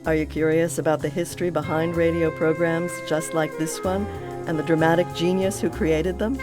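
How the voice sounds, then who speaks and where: Promo announcer, upbeat, mid pitch, music bed. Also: flat delivery, normal speed. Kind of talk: scripted promo read. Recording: voice over music.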